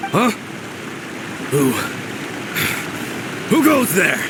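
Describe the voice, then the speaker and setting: An actor voicing a possessed young man in a video game, theatrical and halting, swinging between manly bombast and pleading. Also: high-pitched, big pitch swings, vocal fry, background noise, full character voice. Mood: boastful, manic.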